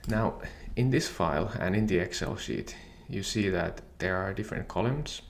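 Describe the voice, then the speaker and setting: Low gravelly man explaining software. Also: moderate pace, a little hiss or hum. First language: Finnish. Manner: calm, methodical explaining. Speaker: software tutorial presenter. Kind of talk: screen-share software tutorial.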